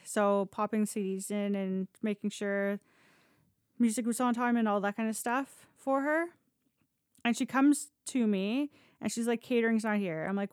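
A woman's voice: a little high, clean tone, dry recording, studio quality. A Canadian woman telling stories casually on a podcast, candid and sweary, often acting out dialogue as she goes. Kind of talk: casual conversational storytelling.

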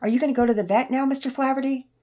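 A woman's voice: sits high, fairly even intonation, racing, dark tone, pitched above the performer's own voice. A woman voicing an eager, kindhearted young boy in a story, polite and earnest. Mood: concerned, earnest. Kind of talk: acted character dialogue in children's story narration.

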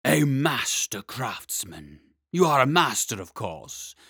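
A man voicing a huge iron-armored dragon that talks slowly, politely and slyly, with a spluttering voice. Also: medium pitch, big pitch swings, a bit bright, slightly rough, no echo, studio quality, exaggerated voice. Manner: slow and drawn out.

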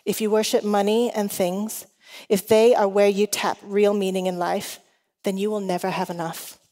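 A middle-aged woman speaks as a preacher, her voice ringing with the long reverb of a big hall. Her accent is an international mix that shifts. She talks conversationally, chatty and self-deprecating, at a medium pitch. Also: level tone, moderate pace, mellow. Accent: mixed international, shifting. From Hong Kong. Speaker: guest preacher. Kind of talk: conversational sermon to a congregation.

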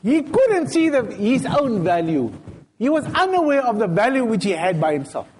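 A man's voice: very high voice, normal speed, slight background noise. A man giving a sermon in a mosque, telling stories casually in everyday speech. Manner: storytelling, retelling scenes in everyday speech with lively quoted dialogue. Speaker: sheikh giving a Friday talk.

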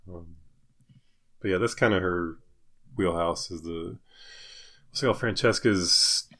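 A man co-hosting a podcast in casual banter, joking in a deadpan way. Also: low voice, unhurried. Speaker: podcast co-host.

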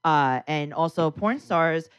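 Co-host, woman, low voice, blunt and playful questioner, dry close sound. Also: slow pace, clear, resonant tone, dry recording. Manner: blunt, joking, curious questions. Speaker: podcast co-host. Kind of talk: casual podcast chat.

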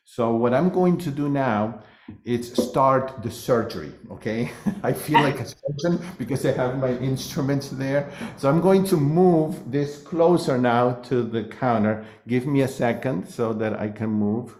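A man in his fifties, a guest cook demonstrating a recipe in a halting, casual way. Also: medium pitch, a little mellow, echoey room. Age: 50s. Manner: halting, many fillers and restarts.

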